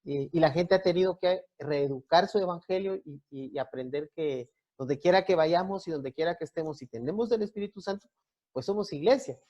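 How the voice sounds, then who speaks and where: Male pastor as interview guest, 40s, Guatemalan accent, relaxed dark tone, halting delivery, muffled low-fidelity sound. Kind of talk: conversational interview, explanatory.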